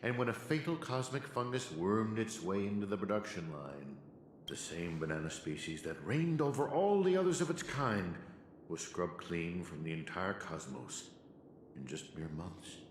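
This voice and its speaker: An actor playing a scheming, condescending professor villain who lectures in a theatrical way. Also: male voice, deep voice, sing-song, normal speed, a little hiss or hum. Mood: smug lecturing. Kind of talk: video game voice acting. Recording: roomy game audio with music.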